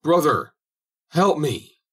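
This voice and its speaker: A man's voice: fairly high pitch, very animated, takes it slowly, breathy edge, voice pushed up, full character voice. Man voicing a sly lying soldier. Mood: desperate.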